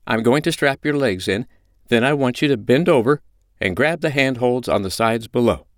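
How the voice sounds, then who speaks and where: A man's voice: mid-range voice, normal speed, dry recording, clean studio sound, pitched above the performer's own voice, natural voice. A man voicing a firm, commanding but reassuring mistress in an audiobook story. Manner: firm, instructing, soothing. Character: commanding, reassuring adult woman, a dominatrix mistress.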